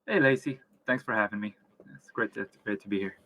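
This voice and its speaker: A man speaks as an interview guest and company founder. His voice is slightly low yet bright and forward. He explains things conversationally, in halting phrases with repeated words and frequent little checks for agreement. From Vietnam and upstate New York.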